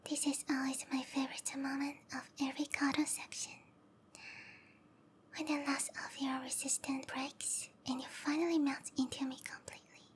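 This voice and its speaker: A woman voicing a sweet, obsessive snake-woman lover in a whispered ASMR roleplay, speaking softly and tenderly with intimate, lingering phrasing. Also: high voice, little pitch movement, normal speed, slight background noise, lightly acted. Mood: soothing, possessive. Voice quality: soft, breathy whisper.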